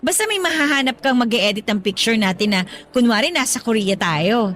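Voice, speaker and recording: Young Filipino girlfriend, radio drama: high-pitched, swooping delivery, pushy, wheedling, giddy schemer. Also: lively intonation, a little fast, a bit bright. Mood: coaxing, exasperated. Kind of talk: Tagalog radio drama acting.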